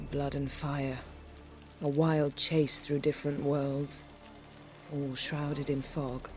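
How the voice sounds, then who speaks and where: An actress playing a shrewd, self-assured sorceress in a video game, articulate and drily sardonic. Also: female voice, low voice, a little mellow. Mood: reflective, softened. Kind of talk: video game cutscene acting.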